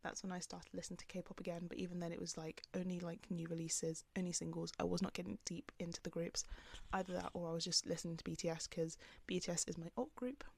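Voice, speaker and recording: Young woman vlogger, soft calming voice, lowish with some fry, loose filler-heavy chat. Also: fast, no room sound. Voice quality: calm, soft voice. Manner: rambling, filler-heavy with many "like"s. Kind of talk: casual talk to camera. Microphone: camera on the speaker.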